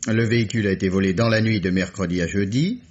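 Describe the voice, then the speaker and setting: Husky, deferential police subordinate played by a man. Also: fairly low pitch, steady tone, quick pace, lightly acted. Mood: deferential, eager. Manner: apologetic, eager. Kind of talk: French radio mystery drama acting. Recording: muffled vintage radio recording.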